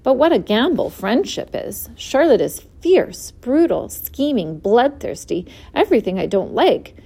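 A woman reading aloud and voicing an innocent, soft-hearted young pig who speaks earnestly and with wonder. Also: a little high, very animated, measured pace, vocal fry, breathy edge, full character voice. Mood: doubtful. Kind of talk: storybook read-aloud.

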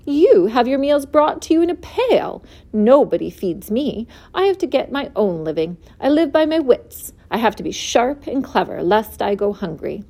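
A woman reading aloud and voicing a clever, bold female spider with a thin, pleasant voice, brisk and self-assured. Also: on the high side, sing-song, mellow. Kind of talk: storybook read-aloud.

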